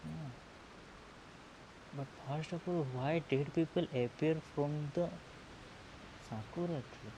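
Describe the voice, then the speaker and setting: A man giving casual gameplay commentary, thinking aloud haltingly, recorded on a murky earphone microphone. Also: fairly high pitch. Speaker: gaming video host. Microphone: earphone mic. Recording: hissy, murky earphone mic.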